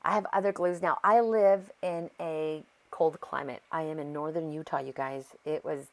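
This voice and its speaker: A woman's voice: sits low, fairly even intonation, moderate pace. An American woman with a congested, sore-throat voice, chatting casually and rambling as she narrates a craft process. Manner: chatty, rambling, easily sidetracked. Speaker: craft video host.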